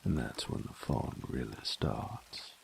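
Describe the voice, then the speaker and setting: Man acting a very deep, gravelly bandit. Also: big pitch swings, takes it slowly, a little hiss or hum, full character voice. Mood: wry, grumbling. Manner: drawling, teasing, folksy, crude. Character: cocky sadistic bandit, gruff, teasing, dominant young man. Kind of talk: intimate audio roleplay drama.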